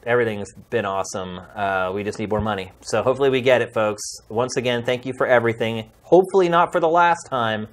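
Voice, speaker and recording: A male games podcast host speaking from a studio. He talks in an earnest, heartfelt way, pleading with his listeners in a mid-pitched, conversational voice. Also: fast, hardly any pauses, slight background noise.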